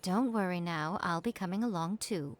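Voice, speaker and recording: A woman playing a sly, self-assured shrine priestess in an animated game, teasing and smug in an unhurried way, over music. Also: lowish voice, a little hiss or hum, close to an everyday voice. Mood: coaxing, smug. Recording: music behind the voice.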